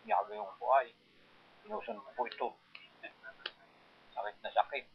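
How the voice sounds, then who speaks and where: Older male, husky voice. Colloquial, demonstrative reminiscing. Muffled field-recording sound. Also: mid-range voice, very animated, moderate pace. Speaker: farmer recalling his working life. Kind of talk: casual reminiscing.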